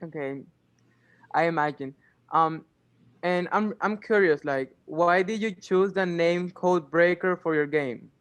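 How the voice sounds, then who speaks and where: A man's voice: a little high, fairly bright tone, clear, resonant tone. A teenage boy interviewing a game creator for a school documentary, reading questions with hesitant restarts. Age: teens. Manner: hesitant, halting. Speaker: student interviewer.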